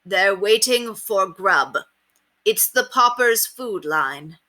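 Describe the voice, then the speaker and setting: A woman's voice: medium pitch, animated, takes it slowly, bright, forceful tone. Woman playing a brash, wiry street hustler man. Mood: breezy, condescending. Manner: colloquial, clipped, cheeky salesman talk. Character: small wiry street tout, brash man, slyly matter-of-fact.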